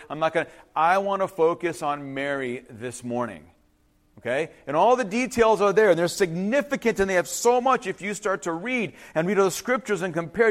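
A man with a high-pitched, highly animated voice delivers a sermon. He is a native Ukrainian speaker. He reads and explains scripture informally, breaking off for asides and turning to his listeners to check they follow. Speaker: preacher.

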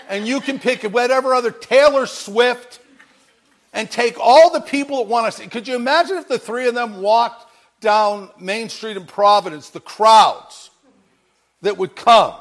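A man's voice: extremely high pitch, fairly bright tone. A man preaching a sermon with a big voice, telling a story with energy and acting out bits of dialogue. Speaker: pastor.

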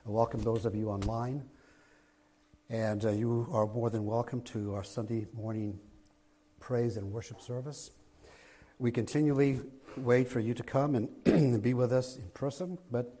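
A man with a raspy voice preaching a sermon as pastor in a church, going from a soft low opening to loud emphatic delivery. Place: church sanctuary, streamed online.

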